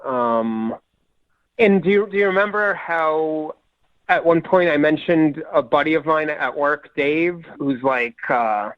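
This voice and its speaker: A man calling in to a radio show over the phone, talking casually in a hesitant, halting way. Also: on the high side, dry recording. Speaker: phone-in caller. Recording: muffled radio phone line, dry.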